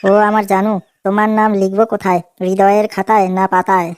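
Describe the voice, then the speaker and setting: Woman acting fast-talking cheeky cartoon boyfriend. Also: mid-range voice, flat delivery, darkish tone, clear, resonant tone, no echo, clean studio sound, lightly acted. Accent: Bengali. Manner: placating, cheeky. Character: young boyfriend, placating, cheeky, sly wisecracker. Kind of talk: comedy cartoon dialogue.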